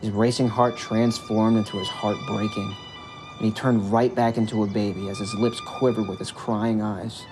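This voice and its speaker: A man's voice: on the low side, steady tone, moderate pace. A man reading a scripted story as its narrator, with music behind his voice.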